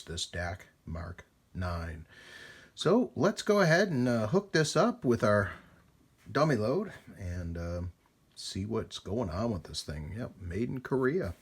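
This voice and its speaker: Low, husky-voiced man rambling casually. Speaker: host demonstrating vintage radio equipment. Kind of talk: casual hands-on vlog narration.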